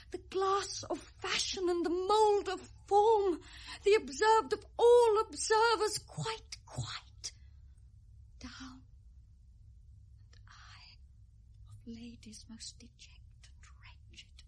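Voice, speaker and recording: Sorrowful young British noblewoman for Shakespearean radio drama, high-pitched, unhurried, gentle, earnest theatrical delivery.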